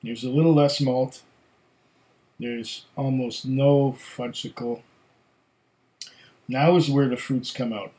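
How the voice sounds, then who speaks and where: A middle-aged man muses over a glass at home, speaking slowly with long pauses while he sniffs and sips. German was his first language. His medium voice comes through a desk webcam. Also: medium pitch, steady tone, dark tone. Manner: slow, musing, pauses to sniff and sip. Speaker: whisky reviewer. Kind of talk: casual tasting notes. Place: home room with shelves of bottles.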